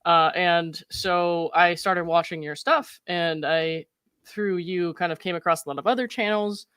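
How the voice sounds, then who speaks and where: Laid-back young woman with a deeper voice. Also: steady tone, measured pace, a bit bright, smooth, dry recording. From Florida. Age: young adult. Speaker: guest. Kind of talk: casual interview chat.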